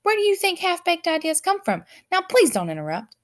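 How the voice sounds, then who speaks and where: A woman's voice: extremely high pitch, huge pitch swings, fairly quick, voice pushed up, exaggerated voice. Female reader as storybook king, pompous, short-tempered, imperious, bossy commands. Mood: irritated, pompous.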